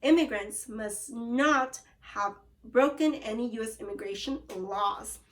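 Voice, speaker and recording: A woman's voice: a little high, measured pace, a bit bright. A woman teacher reading aloud to young children, encouraging them and prompting with questions and cues. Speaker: elementary school teacher.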